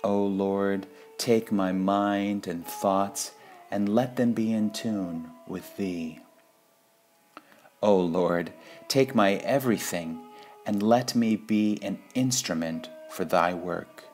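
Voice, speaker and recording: A man reading spiritual sayings in a measured, deliberate devotional style, pausing between phrases. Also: on the low side, darkish tone, soft background music. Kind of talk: slow devotional quote reading.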